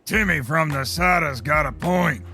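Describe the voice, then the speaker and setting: A man's voice: fairly high pitch, normal speed, pushed and bright, soft background music. A man playing a cocky, teasing, wisecracking buddy in an audio drama.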